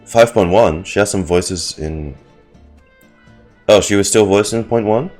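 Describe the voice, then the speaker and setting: A man giving casual live commentary on a game as a streamer, halting and wry. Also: fairly low pitch, darkish tone, soft background music. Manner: halting, wry, self-joking.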